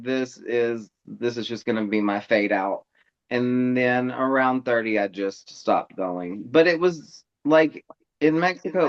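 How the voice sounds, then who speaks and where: A man in his thirties telling stories in casual chat, animated and laughing often, acting out other people's lines. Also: medium pitch, lo-fi sound. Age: 30s. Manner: animated, laughs often, mimics voices in quotes.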